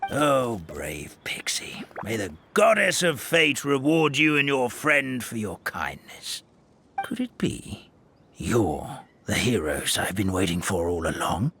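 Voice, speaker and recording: An actor voicing a forgetful, kindly old paper creature in a fantasy game, with a theatrical, rambling, folksy delivery. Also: male voice, medium pitch, sing-song, slow, vocal fry, fairly bright tone, slight background noise, exaggerated voice. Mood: reverent hope. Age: older. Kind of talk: animated fantasy game voice acting.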